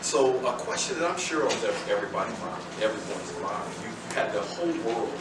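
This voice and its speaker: A man moderating a student panel, who talks haltingly with many fillers and restarts. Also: lowish voice, big pitch swings. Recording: echoey room from far off, loud noise.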